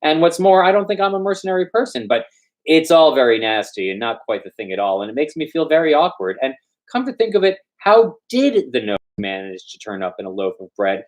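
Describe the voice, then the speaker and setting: A man's voice: a little high, sing-song, a little fast. A man reading a story aloud as host, with a theatrical, playful storytelling delivery. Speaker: host and reader of a storytime show. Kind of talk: dramatic storytelling read-aloud.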